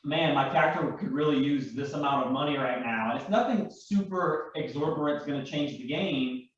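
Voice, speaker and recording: A man's voice: fairly high pitch, fairly quick. A man chatting casually and enthusiastically as he explains game rules, rambling and correcting himself. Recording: echoey room, distant mic, muffled sound.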